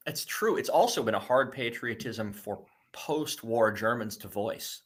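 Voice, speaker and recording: A middle-aged man from the United States, a guest talking in a relaxed interview, often restarting and repeating words. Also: medium pitch, unhurried, husky. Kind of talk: conversational interview.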